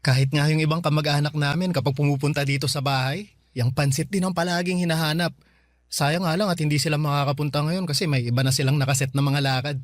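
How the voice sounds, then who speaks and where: Radio drama: eager, smiley young man, fast talker, played by a man. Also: a little high, steady tone, no echo, natural voice. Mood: friendly.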